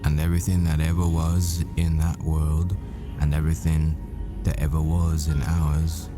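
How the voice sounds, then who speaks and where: A man playing a world-weary, foul-mouthed assassin boyfriend in a dramatic audio roleplay, brooding and deliberate in his storytelling. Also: very deep voice, monotone, music in the background. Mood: grave, solemn.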